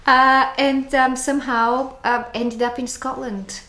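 A woman's voice: sits high, level tone, bright, forceful tone. Reflective woman with a Bulgarian accent. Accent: Bulgarian with a New Zealand twang. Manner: reflective, halting, many fillers. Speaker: author guest. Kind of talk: interview conversation. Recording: echoey room, faint noise.

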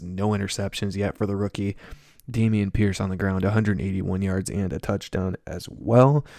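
A man's voice: low-pitched, creaky, darkish tone, no echo, clean studio sound. A man hosting a solo sports podcast, reading through stats in a casual, matter-of-fact way and adding offhand opinions. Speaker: podcast host.

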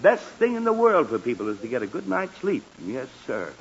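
A man's voice: mid-range voice, big pitch swings, brisk, gravelly, slightly rough, heavily acted. An actor playing a flustered, irritable middle-aged father in a 1940s radio comedy, stammering and fussy. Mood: stern. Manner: stammering, exasperated, fussy delivery. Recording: dull, hissy old radio recording.

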